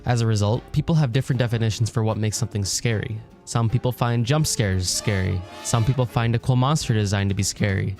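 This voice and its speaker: A man's voice: lowish voice, darkish tone. A man narrating a scripted video essay in an informal, wry way with casual asides and mild swearing. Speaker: video essayist, channel host.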